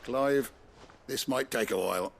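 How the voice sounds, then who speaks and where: Gravelly, calm peacemaker. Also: male voice, medium pitch, measured pace, a little hiss or hum. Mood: calm, placating. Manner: soothing, steady. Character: calm, placating working man, quietly protective. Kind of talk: video game voice acting.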